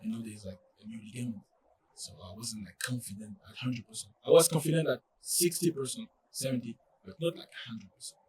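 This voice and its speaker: A young man from France with a French accent, speaking English as a second language in a casual interview, halting and full of fillers. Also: mid-range voice, a little mellow, recorded from a distance, slight background noise, rough recording. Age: young adult. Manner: halting, many fillers and restarts. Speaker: college soccer player, guest.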